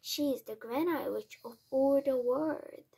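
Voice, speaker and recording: A woman voicing a calm, mischievous old Norwegian grandmother, blunt and matter-of-fact, in a storybook read aloud. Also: high voice, slow, gravelly, slightly rough, full character voice. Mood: calm mischief.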